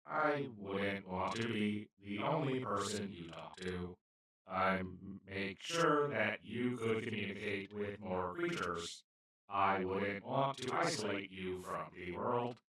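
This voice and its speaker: A man playing a kind, awkward alien in a comedic audio roleplay, speaking to the listener in a gentle, earnest, apologetic and slightly formal, rambling way. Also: fairly low pitch, slow pace, slight background noise. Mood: earnest, hopeful. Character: kind, awkward, well-meaning male alien abductor. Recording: roomy, echoing, distant-sounding voice.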